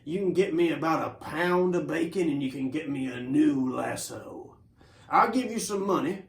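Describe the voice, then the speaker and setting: A music teacher voicing Wild Bill, an easygoing male cowboy, in a slow, low drawl. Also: animated, gravelly. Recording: roomy echo.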